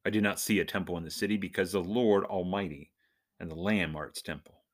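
A man's voice: low-pitched, fairly even intonation, a little fast. A man hosting a podcast, talking in a jokey, nerdy way. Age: adult, husband and father. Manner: jokey, self-described nerd. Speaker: podcast host and church minister. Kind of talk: casual humorous podcast monologue.

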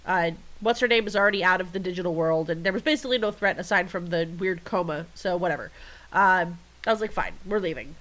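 A woman's voice: lowish voice, fast, a bit bright, poor audio. A congested woman co-hosting a podcast, chatting and telling rambling, jokey stories. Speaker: podcast co-host. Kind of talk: casual chat.

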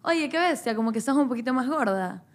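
A woman's voice: high-pitched, animated, quick pace. A woman in casual chat as a podcast co-host, hesitant and rambling, repeating herself.

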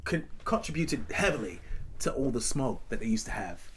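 A man chatting casually on a podcast, animated and slang-heavy. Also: mid-range voice, normal speed, slight background noise. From Los Angeles area. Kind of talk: casual chat.

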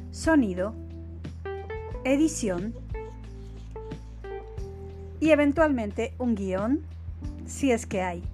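Woman podcast host, chatty and loose, clear music bed underneath. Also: on the high side. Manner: chatty, warm thanks with many fillers and restarts. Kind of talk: casual podcast announcements.

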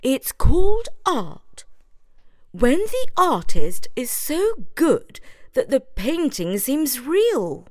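A woman's voice: high-pitched, huge pitch swings, very slow, a little mellow, dry recording, clean studio sound, voice pushed up, full character voice. Woman doing a prim lecturing mouse, Judith. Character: prim know-it-all female mouse.

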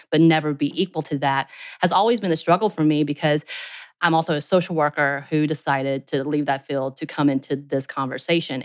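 A woman co-hosting a podcast, talking in an excited, rambling way with some mumbling as she interviews guests. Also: low-pitched, no room sound. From Asia, raised in the U.S. from age seven. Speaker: podcast co-host. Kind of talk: casual interview chat.

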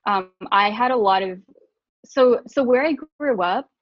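A woman's voice: on the high side, measured pace, dry recording, dull audio. A woman from Southern California who grew up speaking Mandarin and English, co-hosting a podcast in a candid, reflective way and laughing often. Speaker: podcast co-host. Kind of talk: casual conversation.

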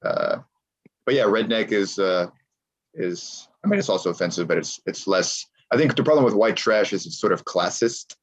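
A man's voice: fairly low pitch, big pitch swings, moderate pace. An American man speaking as a guest in a casual interview, talking emphatically and repeating his points. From United States.

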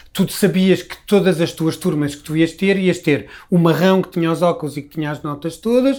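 A man's voice: a little high, a little mellow. A middle-aged man from Lisbon with a Lisbon Portuguese accent, talking as a guest in a casual, reflective conversation full of restarts. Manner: reflective, rambling with many restarts.

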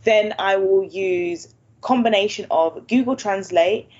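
A woman's voice: mid-range voice, a little slow, a little mellow. A native English-speaking woman hosting a language-learning video, talking casually and laughing often. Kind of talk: casual chat. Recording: dull lo-fi sound.

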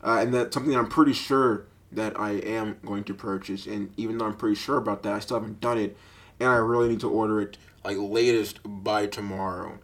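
A young man with a deep voice hosting a casual solo podcast, telling stories in a rambling, animated way, full of fillers and laughter, into a budget mic with a sock pop filter. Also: brisk. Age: young adult. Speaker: podcast host.

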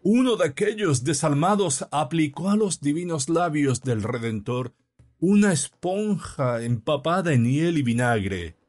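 A male reader puts on a solemn preacher delivering a sermon in a short story. The voice rises well above normal speech, slightly husky, and lingers on each phrase with theatrical, sorrowful weight. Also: on the high side, animated, darkish tone, voice pushed up. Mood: grave, dramatic. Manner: declamatory, mournful, drawn-out phrasing. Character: solemn, theatrical priest preaching the Passion from pulpit.

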